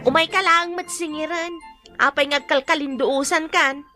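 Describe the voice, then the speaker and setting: A woman with an Ilocano accent playing a brisk, pressing daughter who collects debts in a radio drama. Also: high-pitched, steady tone, bright, forceful tone, faint music behind. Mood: insistent. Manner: pressing, questioning.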